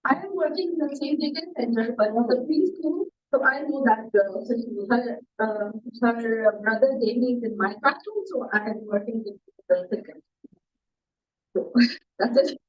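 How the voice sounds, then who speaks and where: A woman's voice: a little high, lively intonation, normal speed, husky, highs cut off. A woman student answering classmates' questions after her presentation, with many fillers and restarts, on a video call.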